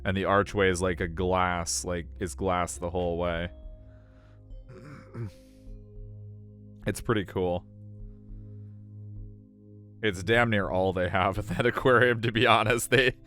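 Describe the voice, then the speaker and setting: A man chatting casually as a game streamer, halting often as he searches for words and leaning on filler words. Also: low-pitched, fairly even intonation, fairly bright tone. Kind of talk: casual chat. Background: music.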